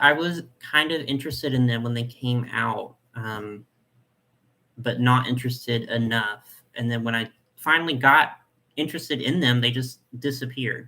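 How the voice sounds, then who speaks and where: A young man with a nasal voice hosting a casual live chat, reading comments and talking in a chatty, soft way with lots of fillers. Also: mid-range voice. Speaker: doll collector channel host.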